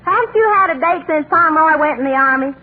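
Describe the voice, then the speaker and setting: A woman's voice: sits high, expressive, fast. An actress playing a brash, vain, man-chasing Southern woman with a folksy, emphatic delivery in 1940s radio comedy style. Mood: boastful, teasing. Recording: noisy, tinny old radio broadcast with audience.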